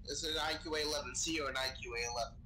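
A man's voice: a little high, steady tone, very fast, pushed and bright. A man playing a chatty, sweary sci-fi crewman who knows his weapons, improvising casually in a game roleplay. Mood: curious. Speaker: Star Wars roleplay player. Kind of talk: improvised in-character gaming chat. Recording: gaming voice chat with background noise.

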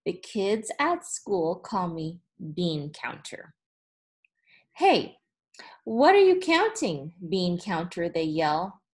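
A woman in her thirties, a teacher reading a storybook aloud to children with deliberate phrasing and expressive emphasis. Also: fairly low pitch. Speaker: elementary school teacher. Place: small indoor room with whiteboard.

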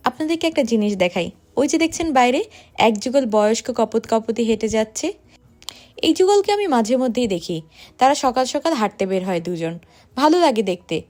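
Fast, lively woman narrating a vlog. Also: a little high. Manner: chatty, addressing viewers directly. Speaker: family vlogger and narrator. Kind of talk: scripted vlog voice-over.

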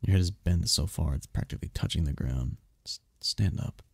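A man playing a seductive, imperious demon prince in an ASMR romance roleplay, teasing and possessive, with a husky, slow, theatrical purr. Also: a bass voice, no room sound, exaggerated voice. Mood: teasing, commanding. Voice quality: gravelly, husky, often breathy whisper. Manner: slow, purring, theatrical, commanding.

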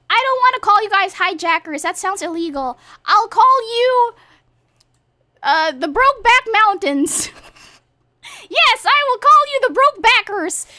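A woman's voice: pushed and bright, no echo, exaggerated voice. A woman voicing a crude, brash cartoon horse who rambles and demands applause during a streamed karaoke session. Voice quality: squeaky and shrill. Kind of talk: livestream karaoke banter.

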